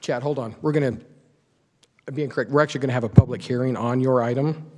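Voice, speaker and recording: A man's voice: medium pitch, fairly even intonation, quick pace, darkish tone, long reverb tail. A man chairing a public meeting, speaking in informal procedural talk from a council chamber.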